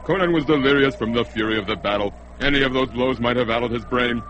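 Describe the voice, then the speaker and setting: An actor playing a skeptical northern warrior in a radio drama, blunt and dismissive. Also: male voice, mid-range voice, quick pace, fairly bright tone, slight background noise, close to an everyday voice. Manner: blunt, matter-of-fact, dismissive.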